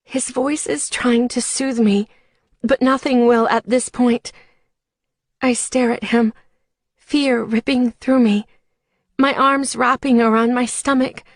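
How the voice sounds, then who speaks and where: A woman's voice: fairly high pitch, fairly even intonation, slow pace, long pauses, no room sound, studio quality. A woman narrating a dramatic first-person audiobook in an emotive, scripted read.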